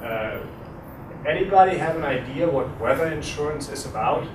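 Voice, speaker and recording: Middle-aged German-accented lecturer, hesitant and chatty, recorded from afar in a noisy room. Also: male voice, a little high, normal speed. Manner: relaxed, hesitant with many fillers, quizzes audience. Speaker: guest lecturer. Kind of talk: university talk with audience questions. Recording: echoey room, distant, noisy.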